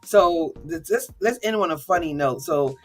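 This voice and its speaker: A woman with a deep voice hosting an interview, chatty and encouraging. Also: lively intonation, normal speed, soft background music. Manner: chatty, encouraging, many backchannels. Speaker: interview host. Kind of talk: casual interview chat.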